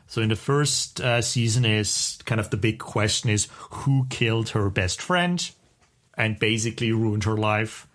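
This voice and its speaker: A man's voice: lowish voice, unhurried, fairly bright tone. Casual male podcast co-host, thinking aloud. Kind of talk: casual podcast chat, recommending TV shows.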